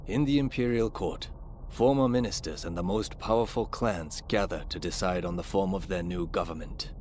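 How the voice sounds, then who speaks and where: A man's voice: deep voice, normal speed, darkish tone, slight background noise, close to an everyday voice. A man playing a solemn narrator in a video game, reading scripted historical narration.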